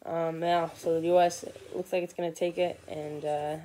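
A man's voice: on the high side, little pitch movement, moderate pace, mellow, slight background noise. Teenage boy rambling over a live game. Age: teens. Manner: rambling, many fillers and restarts. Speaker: sports vlogger. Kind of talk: casual live game commentary. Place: living room couch, indoors. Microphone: phone or laptop camera from below.

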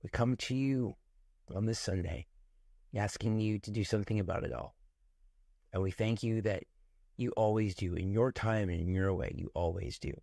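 Forties male pastor at home on webcam; gravelly, dry, gentle devotional read. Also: lowish voice, dry recording. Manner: gentle, unhurried, warmly reflective. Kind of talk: prayerful devotional talk. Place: home room with ceiling fan. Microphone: webcam close up, looking up from below.

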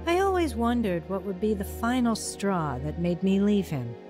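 A woman's voice: medium pitch, very animated, normal speed, music in the background, close to an everyday voice. An actress narrating and playing a troubled young mother in a radio drama, close to tears and emotional. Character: troubled young mother leaving her husband. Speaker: narrator and lead character.

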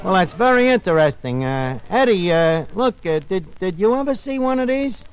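High, animated wisecracking barkeep. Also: male voice, moderate pace. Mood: wry, bantering. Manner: folksy, sarcastic, malaprop-prone, hesitant patter. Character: wisecracking, streetwise, ungrammatical male tavern manager. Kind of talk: 1940s radio comedy acting. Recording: noisy old-time radio recording.

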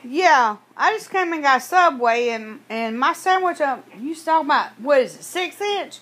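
A woman's voice: high voice, animated, moderate pace, a bit bright. A woman making a prank phone call in a brash, confrontational way, swearing and cutting in. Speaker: prank caller. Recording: noisy home-made recording.

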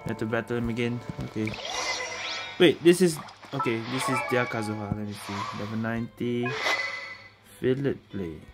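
Gravelly man muttering over a video game. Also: medium pitch, moderate pace, loud music behind the voice. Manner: muttered offhand reactions, mild swearing. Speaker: gamer, streamer. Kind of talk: casual gameplay commentary. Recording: loud game audio behind voice.

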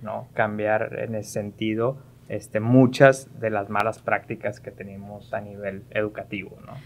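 A man's voice: lowish voice, flat delivery, a little slow. A man, talking conversationally on a podcast and often ending phrases with short tag questions. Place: small padded podcast studio. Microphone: close studio mic on stand.

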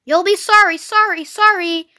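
A frantic, bossy goose from a children's storybook, acted out by a woman. The voice is very high and sharp, pushing out urgent orders and warnings with insistent repetition. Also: animated, moderate pace, heavily acted. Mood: pleading warning. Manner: urgent, repeats phrases insistently. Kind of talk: animal storybook voice.

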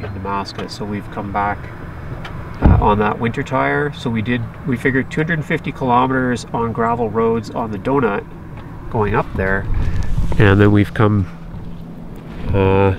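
A middle-aged man from Canada chats casually to a camera held at arm's length. He sounds easygoing and talkative, and laughs easily. Clear outdoor noise from wind and water sits under his voice. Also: lowish voice, unhurried. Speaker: vlogger. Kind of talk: casual travel vlog narration. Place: outdoors, on a lake and by a roadside. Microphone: handheld camera at arm's length.

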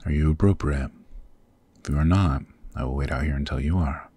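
A man voicing a possessive, protective demon king in a husky, very deep voice, formal and intimate, in an ASMR roleplay. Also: brisk, noisy background. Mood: commanding, caring. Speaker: voice actor.

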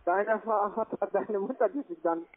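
A man's voice: sits high, moderate pace. A man with a foreign accent, speaking as a non-native in broken phrasing, mocking and provoking in a phone argument. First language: not German. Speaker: call-centre scam caller. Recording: narrow, tinny phone-line sound.

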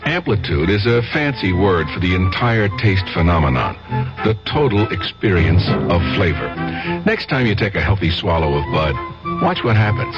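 Deep American radio pitchman, lively delivery. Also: normal speed, loud music behind the voice. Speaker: commercial announcer. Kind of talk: scripted beer advertisement. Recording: lo-fi 1970s radio commercial.

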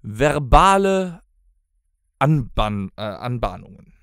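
Male podcast co-host, animated intonation, slightly high voice, dry close-miked sound. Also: takes it slowly, clean tone, dry recording. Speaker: podcast co-host and band musician. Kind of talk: casual chat, bantering. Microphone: close studio podcast microphone.

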